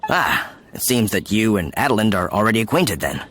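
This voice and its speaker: A man's voice: on the low side, sing-song, fairly quick, a bit bright, slight background noise. A man voicing a plain-spoken, friendly villager in a video game. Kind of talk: video game character acting.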